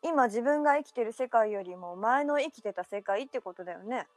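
Woman acting an eager high-voiced girl, quick reactions, dry sound. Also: lively intonation, no echo, lightly acted. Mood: curious. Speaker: radio drama performer. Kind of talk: amateur radio drama acting.

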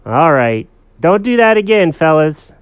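Little girl, played by a man: storybook pet owner, exclaiming, scolding yet soft-hearted, high and swooping. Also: big pitch swings, measured pace, clear, resonant tone, dry recording, highs cut off. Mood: mock stern.

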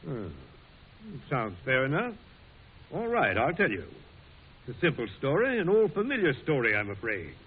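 An actor playing a courteous, wry soldier president in an old-time radio drama, speaking in a measured, folksy way. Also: male voice, mid-range voice, huge pitch swings. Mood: earnest. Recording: muffled, rough old radio recording.

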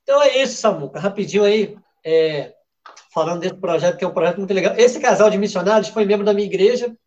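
A man's voice: sits high, fast, a little mellow, lo-fi sound. A man hosting a football betting show in a casual, chatty way, often restarting his sentences. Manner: chatty, with many restarts and fillers. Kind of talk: casual live show talk.

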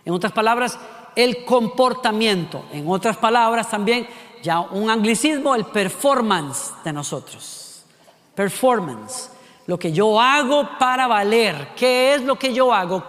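A man from Costa Rica with a Costa Rican accent preaching a sermon, animated, with many rhetorical questions and acted-out voices. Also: extremely high pitch, big-room sound. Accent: Costa Rican Spanish.

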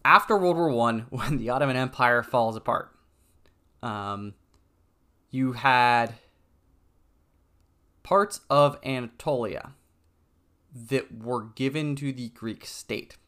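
A man with a smooth, clear voice of middling pitch talks through his subject alone. He is relaxed and conversational, filling gaps with ums and stumbling now and then over names. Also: takes it slowly, pauses often. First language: English. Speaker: podcast host. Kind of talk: solo educational podcast talk.

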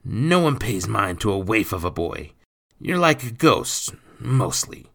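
A man voicing a rough, bullying, thieving stepfather in an audiobook, gruff and coarse in his grammar. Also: on the low side, sing-song, a little slow, a bit bright, pitched above the performer's own voice, exaggerated voice. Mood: menacing. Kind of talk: audiobook character voice.

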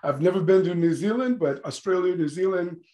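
A man's voice: high voice, brisk, a little mellow. A man hosting a podcast, asking friendly, rambling questions. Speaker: podcast host. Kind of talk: podcast interview.

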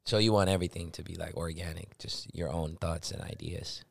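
Deep raspy male host, dry close mic. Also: steady tone, dry recording. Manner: affirming, quick to agree. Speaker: interview host. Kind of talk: casual interview talk.

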